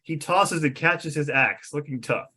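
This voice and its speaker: A man's voice: fairly high pitch, measured pace, sharp tone, a little hiss or hum. A man reading out the stage directions of a fantasy script as narrator at a table read.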